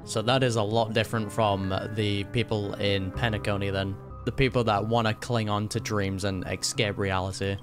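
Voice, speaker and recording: A man giving informal play-along commentary on a game, reacting out loud. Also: fairly low pitch, level tone, moderate pace, few pauses. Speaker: gaming reaction streamer. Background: game music.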